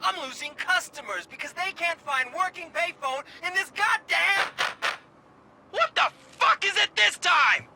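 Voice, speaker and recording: A man's voice: extremely high pitch, lively intonation, unhurried, vocal fry, very noisy, heavily acted. A man playing a jumpy, irritable street drug dealer in a video game, brash and agitated in his talk. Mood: angry.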